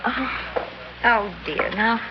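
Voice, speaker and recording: A woman's voice: on the high side, drawn-out words. An actress playing a scheming woman who lures a young man into a trap, coy and sly, in an old radio drama. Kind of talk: 1940s-50s radio drama acting. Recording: muffled vintage radio recording with hiss.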